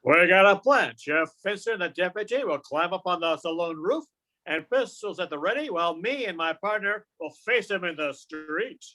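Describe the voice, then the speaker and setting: A man's voice: high voice, expressive, normal speed, pushed and bright. An older man playing a smitten, folksy cowboy gunslinger in an amateur radio comedy. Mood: confident. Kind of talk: amateur old-time radio comedy acting.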